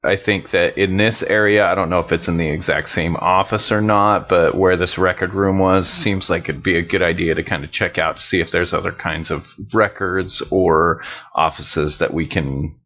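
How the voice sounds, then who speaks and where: A man's voice: deep voice, fairly even intonation, moderate pace, fairly bright tone. A man playing in a tabletop role-playing podcast, talking casually and colloquially in character, on slightly muffled audio. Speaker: player in an actual play podcast.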